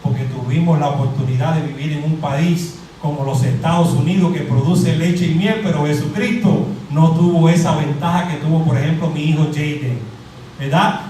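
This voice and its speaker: Animated Cuban pastor in an echoey hall. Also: male voice, fairly high pitch, normal speed, slight background noise. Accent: Cuban Spanish. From Cuba. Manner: animated, asks the crowd questions and jokes. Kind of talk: interactive sermon, calling on the congregation. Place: church hall. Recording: very echoey hall, mic at a distance.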